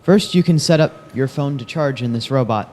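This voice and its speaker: Mellow young man demonstrating a device. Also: mid-range voice, normal speed, slight background noise. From Ohio. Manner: demonstrating step by step. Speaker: student presenter.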